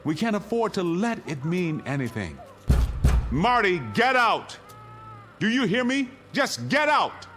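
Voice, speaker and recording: A man's voice: high-pitched, big pitch swings, unhurried, sharp tone, exaggerated voice. A male actor in a radio drama playing a stubborn, anguished doctor and father, speaking with emphatic resolve. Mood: furious. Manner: emphatic, declamatory.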